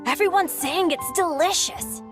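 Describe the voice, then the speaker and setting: A woman's voice: extremely high pitch, unhurried, over music. A woman voicing a spirited, friendly young girl in a video game, breezy and chatty.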